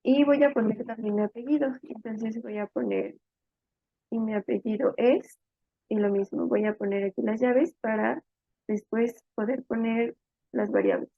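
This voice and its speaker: A woman with a fairly high voice and a creaky edge teaches step by step, talking through each move informally as she works. The sound is narrow and phone-like, with very little room around it. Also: animated, normal speed, no room sound. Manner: informal explanatory, thinking aloud. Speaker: programming instructor. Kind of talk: step-by-step tutorial narration while typing.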